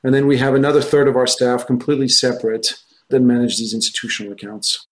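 Middle-aged French-raised man, relaxed talk. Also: mid-range voice, fast talker. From France. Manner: relaxed, with frequent restarts and fillers. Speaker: guest, research firm founder. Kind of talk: conversational interview answers.

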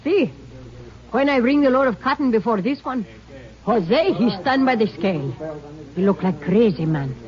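A woman's voice: on the high side, lively intonation, moderate pace, long pauses, a crowd in the background, lightly acted. Lady doing a worried mexican mom, broken english, out of breath, dull old radio sound. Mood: anxious. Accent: Mexican Spanish. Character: older Mexican mother, cotton picker, protective. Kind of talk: 1950s radio acting.

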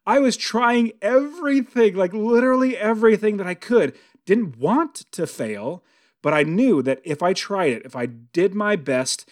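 An American man in his early forties hosting a motivational podcast monologue, conversational and emphatic, repeating phrases for stress. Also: a little high. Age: early 40s. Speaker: podcast host and real estate investing coach.

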